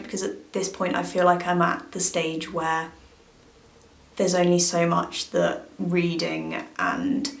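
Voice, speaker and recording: A young woman chatting to her camera with a hesitant, filler-filled delivery. Her voice sits a little low and carries a gravelly fry. The room around her sounds echoey. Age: young adult. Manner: hesitant, many fillers and hedges. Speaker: language-learning vlogger. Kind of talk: casual talk to camera.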